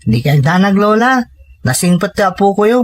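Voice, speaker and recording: A man's voice: high-pitched, very animated, brisk. A man playing an earnest, dutiful young grandson and student in an Ilocano-accented audio drama. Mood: reassuring.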